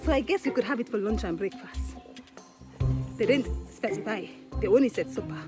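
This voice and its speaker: A woman's voice: on the high side, a little fast. Tired, joking woman vlogging on a trail. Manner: playful and joking, laughs often. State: out of breath, tired from hiking. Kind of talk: casual travel vlog. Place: outdoors on a wooded hill trail. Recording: music playing under the voice.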